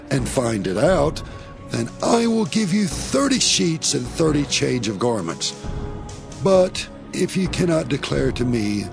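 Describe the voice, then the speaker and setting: Man playing Samson, proud gravelly biblical strongman. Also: medium pitch. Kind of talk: dramatized scripture, archaic English.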